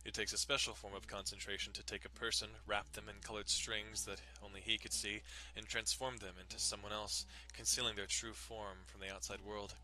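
A man's voice: on the low side, level tone, fairly quick, hardly any pauses, pushed and bright, poor audio. A man giving a dramatic scripted narration of a visual novel. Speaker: narrator doing dramatic readings.

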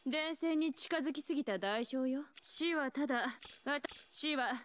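High, girlish game engineer, voiced by an actress. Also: normal speed, slight background noise, lightly acted. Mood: solemn. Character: sweet, earnest young woman engineer. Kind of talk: video game voice lines.

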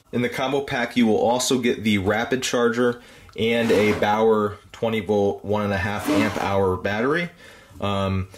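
Man, casual tool review, lowish voice, plain talk, ums and uhs, faint background noise. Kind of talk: casual product review voice-over.

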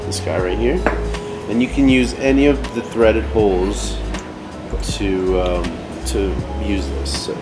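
A man in his forties giving a casual product demonstration in halting phrases with pauses. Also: fairly low pitch, over loud music. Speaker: company product presenter. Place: indoor set with brick wall, seated at table.

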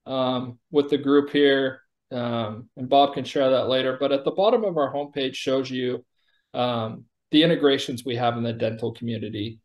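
A man in his forties giving an informal product demo over a video call in a plain-spoken, explanatory way. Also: mid-range voice. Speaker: company chief executive giving a product demo. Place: indoor room on a video call. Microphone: video call microphone.

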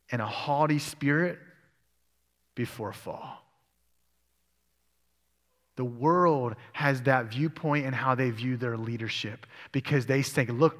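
A man's voice: medium pitch, a bit bright. A male pastor preaching a sermon, reading scripture aloud and asking his congregation questions. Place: church sanctuary. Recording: long church hall reverb.

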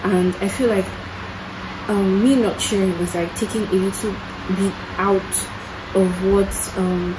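A woman's voice: medium pitch, fairly even intonation, slow pace. A young Nigerian woman in her twenties with a Nigerian accent, chatting casually to camera as a vlogger at home. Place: bedroom and living room at home. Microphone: phone at arm's length. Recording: noisy room ambience.

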